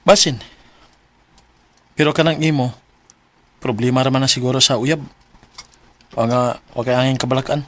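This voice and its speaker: A man's voice: medium pitch, fairly even intonation, normal speed, pauses often, a bit bright, lightly acted. A man playing an earnest, hard-up young college student in a radio drama. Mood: wry, consoling.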